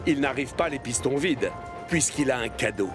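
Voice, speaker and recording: A man narrating a children's cartoon in French dub, with an expressive storytelling manner. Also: mid-range voice, normal speed, over music.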